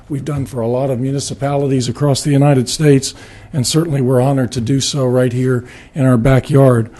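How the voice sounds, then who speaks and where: Raspy guy presenting to a city board, kinda dark mellow tone, earnest, some ums. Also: mid-range voice. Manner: earnest, slightly halting with fillers. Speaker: marketing firm presenter. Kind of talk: public presentation at a city meeting. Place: city commission meeting room.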